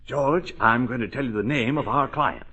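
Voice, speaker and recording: An actor playing a brash, emphatic business associate who confides in an old radio comedy-drama style. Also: male voice, mid-range voice, moderate pace, bright, forceful tone, breathy edge. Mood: confiding, brisk.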